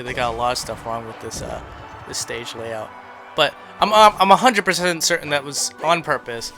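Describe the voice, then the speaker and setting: Man, high-pitched, forceful bright tone, chatty game commentary, self-deprecating. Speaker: video host. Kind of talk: casual rambling game tour commentary. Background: background music.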